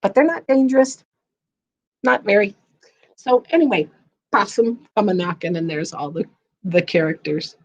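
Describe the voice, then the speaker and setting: A woman reading and chatting for a children's storytime in a playful, expressive way. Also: mid-range voice, normal speed, pauses often, muffled recording. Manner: playful, expressive, sing-song when reading. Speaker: guest storyteller. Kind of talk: children's storytime read-aloud and casual chat.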